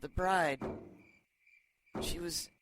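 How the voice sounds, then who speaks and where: A woman playing a badly injured, dazed teenage boy in an audio drama, halting and strained with pain. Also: fairly low pitch, noisy background. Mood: dazed, insistent. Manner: halting, strained, broken phrases.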